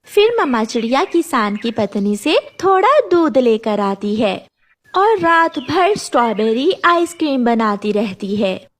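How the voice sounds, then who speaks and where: A woman's voice: fairly high pitch, big pitch swings, a little slow. A woman narrating a scripted children's story.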